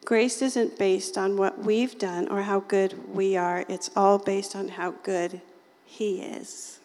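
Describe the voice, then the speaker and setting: A woman with a slightly raspy voice giving an informal, chatty sermon to a small group, folksy and often laughing. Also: mid-range voice, level tone, takes it slowly, a little mellow, long reverb tail. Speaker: lay preacher.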